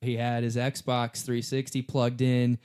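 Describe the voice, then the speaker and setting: A man's voice: mid-range voice, monotone, a little slow, a little mellow, clear, resonant tone. A young American man co-hosting a podcast, chatting and telling anecdotes in an informal, slangy, sweary way. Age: young adult. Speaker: podcast co-host. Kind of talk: casual chat and anecdotes.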